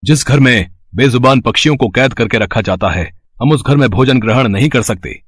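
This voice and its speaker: A man's voice: mid-range voice, breathy edge, no room sound, clean studio sound, lightly acted. Solemn, fatherly wandering holy man, acted by a man. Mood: stern. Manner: formal, measured, paternal. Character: pious, solemn wandering Brahmin storyteller, fatherly. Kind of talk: Hindi moral-story cartoon voice acting.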